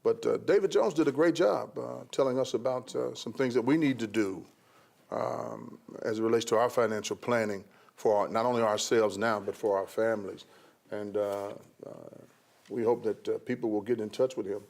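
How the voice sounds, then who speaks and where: A man's voice: fairly low pitch, moderate pace, gravelly, a little mellow. A man in his late thirties, a pastor co-hosting a talk show, talking earnestly and repeating his points. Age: 30s. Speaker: co-host, pastor. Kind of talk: casual talk show chat.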